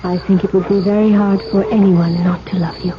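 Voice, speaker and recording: A woman's voice: mid-range voice, level tone, a little fast, lightly acted. An actress playing a bold, self-possessed young lady, a romantic heroine speaking formally in a 1970s radio drama. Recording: muffled, noisy 1970s radio broadcast.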